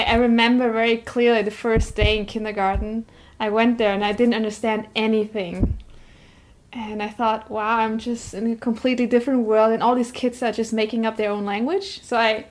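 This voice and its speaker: A woman from Germany whose first language is Vietnamese, recalling a childhood memory in a soft, reflective way. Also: a little high, fairly even intonation, a little fast. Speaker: interviewee. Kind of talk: personal storytelling.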